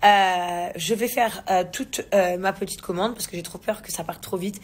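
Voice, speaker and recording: A woman in her thirties films herself at arm's length in a spacious, bright living room. Her voice is husky and slightly breathy, set at an everyday pitch. She rambles warmly in casual chat, full of fillers, slang and restarts. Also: fairly even intonation, moderate pace. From England. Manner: chatty, many fillers and restarts, youthful slang. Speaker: vlogger. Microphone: phone camera at arm's length.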